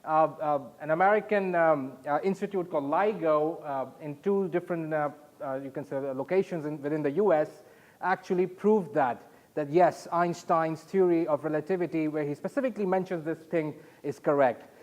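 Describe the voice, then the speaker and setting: A man from Saudi Arabia presenting at a conference, explaining in a halting way and putting questions to his audience. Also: fairly high pitch, a little mellow. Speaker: conference speaker.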